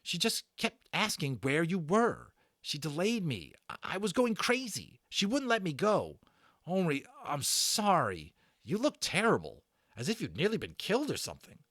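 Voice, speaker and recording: A man acts out a frantic young boy in a storybook, high-pitched and babbling. His words tumble out urgently and pleadingly, swinging up and down. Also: big pitch swings, normal speed, fairly bright tone, dry recording, studio quality. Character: frantic young British schoolboy, excitable and pleading.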